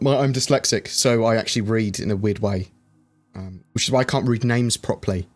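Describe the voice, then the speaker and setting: Chatty man answering livestream chat. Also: mid-range voice. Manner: chatty, reads viewer questions aloud and answers with many fillers. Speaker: streamer answering chat questions. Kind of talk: casual livestream Q&A.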